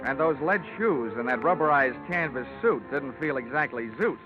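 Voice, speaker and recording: An actor playing a wry, hard-boiled insurance investigator, wisecracking and dry, in old-time radio detective style. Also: male voice, medium pitch, lively intonation, normal speed, lightly acted. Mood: wry narrating. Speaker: lead actor and narrator. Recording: dull vintage radio recording with music.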